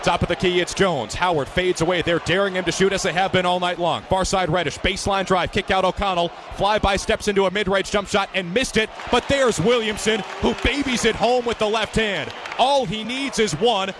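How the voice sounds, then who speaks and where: A man in his twenties doing live sports commentary and reporting in a projected, energetic, detailed announcer style. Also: high voice, a little fast, hardly any pauses, background noise. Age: 20s.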